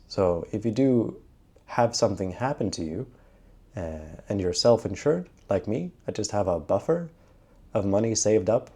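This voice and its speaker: Young man from Sweden, travel vlogger, mellow dark tone, lowish voice, chatty, lots of fillers. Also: breathy edge. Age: young adult. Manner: relaxed, chatty, frequent "uh" fillers. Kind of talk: casual vlog talk to camera.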